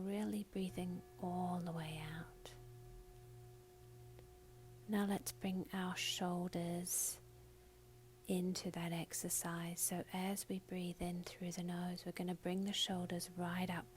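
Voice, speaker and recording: A woman teaching a guided meditation for beginners, speaking softly and breathily in soothing instructions with pauses. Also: fairly low pitch, flat delivery, slight background noise.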